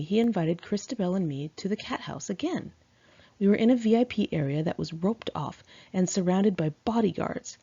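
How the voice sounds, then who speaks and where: A woman's voice: on the low side, gravelly, dark tone, husky. A woman reading her own memoir aloud in a candid, chatty, sassy conversational style, on a dull lo-fi home recording.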